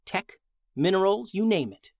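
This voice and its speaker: A keen young man who flies drones and hungers for fortune, acted out by a male storyteller. He talks in a lively way in a children's space adventure. The recording is dry. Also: sits high, moderate pace, no room sound, pitched above the performer's own voice. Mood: greedy, eager.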